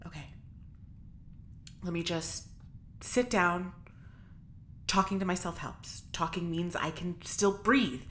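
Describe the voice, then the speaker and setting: A bright, tense, agitated prisoner. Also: female voice, lowish voice, slight background noise. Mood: panicked, self-soothing. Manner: stammering, halting, talking to herself. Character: agitated, defiant woman prisoner in space, fighting panic. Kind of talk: audio drama monologue.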